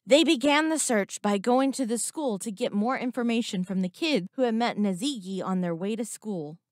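A woman reading a scripted moral story for children in a deliberate, expressive storytelling manner. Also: on the high side, no echo, studio quality. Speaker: narrator.

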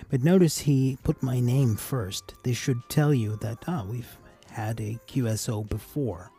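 A man giving a casual, measured instructional voice-over as an amateur radio operator hosting a tutorial. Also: medium pitch, gravelly, warm tone, husky.

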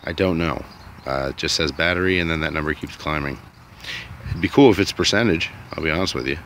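A man's voice: rumbling low, a little fast, a bit bright, a little hiss or hum. A man vlogging outdoors in a sunny yard, thinking aloud in a rambling, unsure way while filming on a phone. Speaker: DIY vlogger. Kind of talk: casual thinking-aloud commentary.

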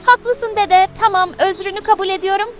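A woman's voice: very high-pitched, steady tone, fairly quick, faint music behind. A woman voicing a curious, polite little granddaughter in a children's cartoon. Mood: agreeable, earnest.

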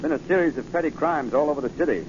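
An actor playing a gruff but good-natured American police commissioner in a 1940s radio drama, blustering along. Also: male voice, fairly high pitch, very fast, lightly acted. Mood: grumbling. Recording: muffled, noisy 1940s radio recording.

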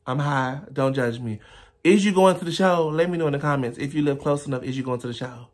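Animated young man. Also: mid-range voice, fast. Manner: animated, playful, dramatic exclamations. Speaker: reaction YouTuber. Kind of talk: casual reaction commentary. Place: small home living room, indoors.

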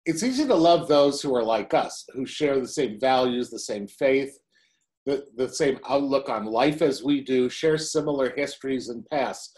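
Older male pastor, lively wry preaching. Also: mid-range voice, normal speed. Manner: conversational, wry asides. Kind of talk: sermon.

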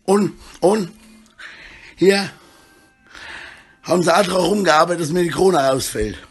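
A middle-aged man with a husky voice, rambling disjointedly in a selfie monologue recorded indoors on a handheld phone. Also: high voice, unhurried, faint music behind. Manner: rambling, disjointed, often tags sentences with questions. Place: small room indoors. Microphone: phone held at arm's length.

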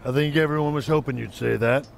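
Actor as a gravelly encouraging wrestling coach. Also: male voice, medium pitch, fairly even intonation, quick pace, a little hiss or hum, natural voice. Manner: encouraging, businesslike.

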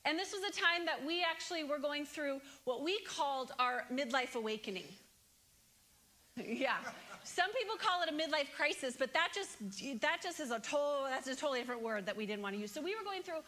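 A woman in her thirties giving a keynote sermon on a large church stage, talking to the congregation in an animated, conversational way and asking them questions. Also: sits high, fairly quick, a little hiss or hum. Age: 30s. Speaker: guest speaker.

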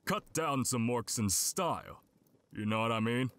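Man acting a folksy, gruff town blacksmith, unhurried. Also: medium pitch, expressive, fairly bright tone. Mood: jovial, salesmanlike. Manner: folksy, brusque. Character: gruff, good-humoured male town blacksmith. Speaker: voice actor. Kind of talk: fantasy audio drama acting.

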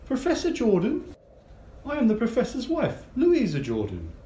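A woman acts a gracious upper-class wife in a radio play. Her pitch swings widely, and the recording is echoey with clear background noise. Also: huge pitch swings, moderate pace, warm tone. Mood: formal, inquiring. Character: gracious well-to-do professor's wife, poised hostess. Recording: roomy, clearly noisy homemade recording.

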